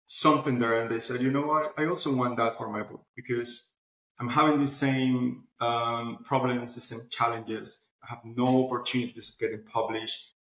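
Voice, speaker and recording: A man's voice: mid-range voice, flat delivery, moderate pace, a bit bright. Man explaining in a roomy echo. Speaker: interview guest, publishing platform founder. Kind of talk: conversational interview answers.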